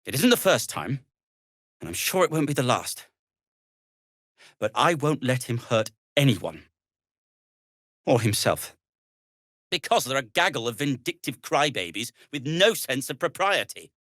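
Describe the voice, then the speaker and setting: Video game gnome tinkerer, middle-aged: fussy, wryly formal, hesitant stammer, unhurried pace, very animated intonation, dry close recording. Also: male voice, medium pitch, dry recording. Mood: earnest, wry. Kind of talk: video game character acting.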